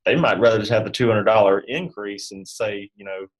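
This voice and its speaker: A man giving casual advice as an interview guest, in a halting, loosely phrased way with many filler phrases. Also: on the low side, steady tone, poor audio. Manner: halting, loose, peppered with "you know". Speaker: guest advisor.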